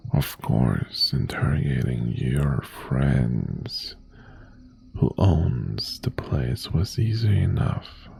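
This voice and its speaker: Man acting as possessive mafia ex-boyfriend, dark mellow tone, crawling pace, breathy gravelly murmur, softly menacing, intimate ASMR roleplay. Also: a bass voice, slight background noise, heavily acted. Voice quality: gravelly, breathy half-whisper. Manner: slow, deliberate, softly threatening. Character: obsessive, menacing male mafia boss ex-boyfriend, possessive yet tender.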